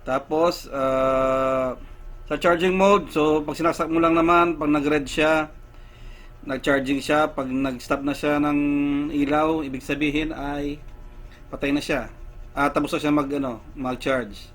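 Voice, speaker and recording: A man giving a casual step-by-step how-to demonstration, unhurried, with many fillers. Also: on the high side, fairly even intonation, a bit bright. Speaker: tech vlogger.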